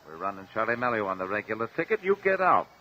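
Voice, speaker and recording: An actor playing a coolly bullying American gangster boss in a mid-century radio drama, menacing and slangy. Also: male voice, on the low side, very animated, quick pace. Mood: threatening. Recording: muffled old radio recording.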